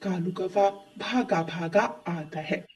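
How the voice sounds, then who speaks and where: Husky woman narrating a children's story. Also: on the low side, fairly even intonation, slow, far from the mic.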